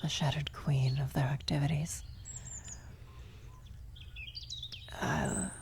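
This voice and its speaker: A woman playing a worried, tender vampire sorceress in an ASMR fantasy roleplay, speaking softly and haltingly, often near a whisper. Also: low-pitched, flat delivery. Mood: gentle, guiding. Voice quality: soft, breathy, often slipping into whisper. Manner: halting, hesitant, gentle. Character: worried female vampire blood sorcerer, tender, guilty lover. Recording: outdoor birdsong and ambience behind. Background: birdsong, nature ambience effects.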